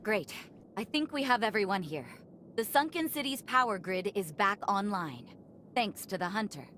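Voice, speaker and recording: A woman's voice: mid-range voice, animated, normal speed, a bit bright, a little hiss or hum, lightly acted. A woman voicing a defiant, sardonic noblewoman in a video game, with a blunt, commanding, barbed way of talking. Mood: briskly commanding. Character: defiant, sardonic noblewoman ruling a sunken city. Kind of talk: scripted video game cutscene acting.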